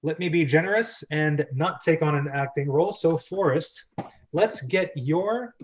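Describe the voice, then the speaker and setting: Higher-pitched man hosting a script read-through. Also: a little slow, lo-fi sound. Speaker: host and narrator of a script read-through show. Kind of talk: chatty hosting and dramatic script reading.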